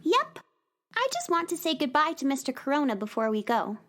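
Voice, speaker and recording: A woman's voice: high voice, sing-song, fairly quick, a bit bright. A woman voicing a young girl space explorer in a radio play, earnest and polite, stammering when nervous. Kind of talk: radio drama acting.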